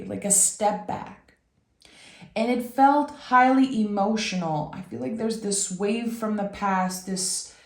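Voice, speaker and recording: Slow, raspy woman reading tarot, roomy sound. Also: mid-range voice, a little mellow. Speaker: tarot reader and channel host. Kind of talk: intuitive tarot reading addressed to the listener.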